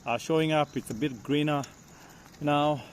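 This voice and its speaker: A man from Kenya with a Kenyan accent, talking casually as a vlogger in a halting, repetitive way. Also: on the high side, slight background noise. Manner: halting, repeats phrases. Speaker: vlogger, host. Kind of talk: casual outdoor vlog talk. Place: outdoors on a bicycle along village paths. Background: loud outdoor ambience.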